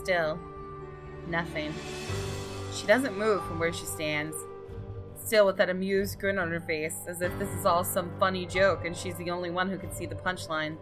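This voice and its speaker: A woman gaming host giving an expressive, dramatic scripted narration of a visual novel. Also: fairly low pitch, moderate pace. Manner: expressive, story-reading delivery. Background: game music.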